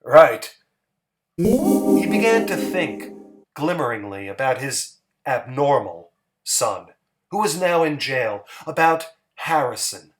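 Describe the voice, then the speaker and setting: A man's voice: medium pitch, slow, soft background music. A man giving a dramatic, theatrical reading of a literary short story. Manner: expressive, theatrical. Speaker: story reader.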